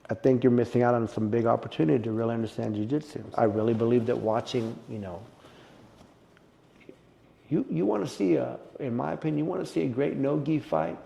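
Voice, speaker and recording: A middle-aged man with a mellow, gravelly voice, talking jiu-jitsu in a relaxed interview. He tells his stories with plenty of feeling and jumps up in pitch and volume when something excites him. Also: lo-fi sound. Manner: animated, emotional storyteller who gets loud and high when excited. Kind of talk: casual podcast interview.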